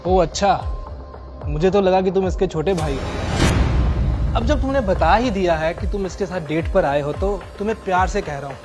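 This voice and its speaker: A man playing a smug, sleazy rich flirt in an audio drama, condescending and smooth-talking, dropping English into his Hindi. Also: high voice, normal speed, music in the background, lightly acted. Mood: mocking.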